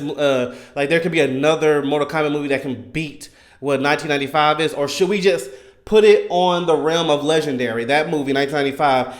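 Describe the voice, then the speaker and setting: Man, thirties, slightly high voice, casual fan commentary, animated, emphatic, slangy. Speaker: gaming content creator.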